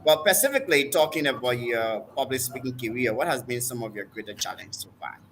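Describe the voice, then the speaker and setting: A man's voice: mid-range voice, animated, brisk, a bit bright, slight background noise, low-quality recording. A man with a Liberian accent hosting an online interview, talking with frequent fillers and restarts. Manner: hesitant, many fillers and restarts. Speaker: host, preacher.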